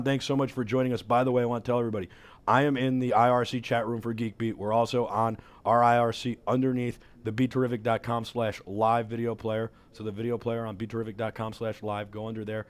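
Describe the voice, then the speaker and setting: A man in his thirties hosting a talk show in a studio, hoarse from a cold, talking with upbeat energy into a headset mic. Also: medium pitch. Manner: energetic, enthusiastic promo patter. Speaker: host. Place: studio with city backdrop.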